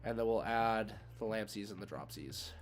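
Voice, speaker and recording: A man's voice: lowish voice, expressive, moderate pace, over music. A man giving casual live commentary as a game streamer, thinking aloud in informal gamer slang. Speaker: streamer hosting card game matches.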